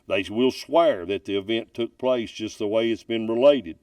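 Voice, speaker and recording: A man reading a ghost story aloud from a book as a storyteller, with deliberate, dramatic emphasis. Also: fairly low pitch, a little mellow, no echo, distant mic. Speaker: narrator reading ghost stories.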